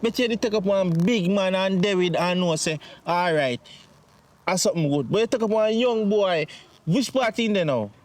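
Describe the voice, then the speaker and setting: A man with a Jamaican accent playing a distraught grown son in a domestic drama, pleading and reproachful, with halting, repetitive speech. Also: high-pitched, animated. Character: distraught grown son reproaching his mother over money. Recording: faint background noise.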